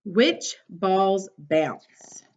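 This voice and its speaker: A woman's voice: mid-range voice, lively intonation, very slow. A woman teacher reading a picture book aloud to young children, instructing and prompting them with questions. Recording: dull, lo-fi sound.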